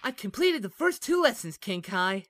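A man voicing a naive, excitable, dim martial artist hero in an anime parody, given to whooping. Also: high-pitched, moderate pace, no room sound, clean studio sound, heavily acted. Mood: giddy. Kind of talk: anime parody dub acting.

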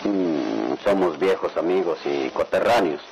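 A man's voice: low-pitched, lively intonation, a little slow, gravelly, a little mellow, full character voice. An actor playing a stern, cautious middle-aged father in a radionovela, lecturing with authority. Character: stern, cautious father with plans for his children. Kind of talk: radionovela acting. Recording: noisy old radio drama recording.

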